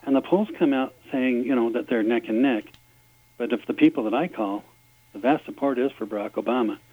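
Guy calling in on a tinny phone line, earnest, halting, lots of ums. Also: mid-range voice, no room sound. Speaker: caller, campaign volunteer.

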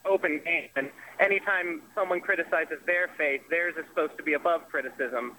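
A man's voice: fairly high pitch, moderate pace, pushed and bright. A man co-hosting a radio show, giving conversational political commentary. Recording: faintly noisy radio sound.

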